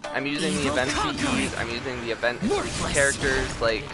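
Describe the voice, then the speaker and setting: A man's voice: medium pitch, wildly swooping pitch, fairly quick. A man chatting casually as he streams a game, rambling with mock-exasperated asides. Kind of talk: casual livestream chat while gaming. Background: loud game music.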